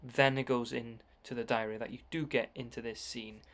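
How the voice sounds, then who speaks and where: A man with an average-pitched voice speaks as a gaming video commentator. He explains scenes in an informative voice-over style. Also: steady tone, normal speed, fairly bright tone.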